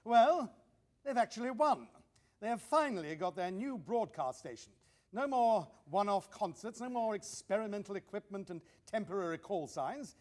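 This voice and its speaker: A man's voice: high-pitched, expressive, moderate pace. A man playing an enthusiastic, visionary 1920s wireless company man in a radio play, speaking emphatically and declaiming. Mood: earnest, excited. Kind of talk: radio drama acting.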